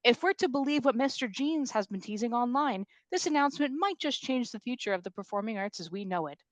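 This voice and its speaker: A woman's voice: fairly high pitch, lively intonation, fast talker, no room sound, pitched above the performer's own voice, close to an everyday voice. A woman playing an upbeat, polished television news anchor in a comedy table read, with a presentational delivery. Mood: upbeat, formal. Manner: presentational broadcast reading.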